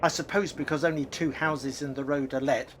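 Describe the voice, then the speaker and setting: A man's voice: medium pitch, fairly even intonation, brisk, a little hiss or hum, close to an everyday voice. A man playing a grumbling yet hospitable elderly father, a retired working man, in a radio play, with a blustery, exclamatory manner.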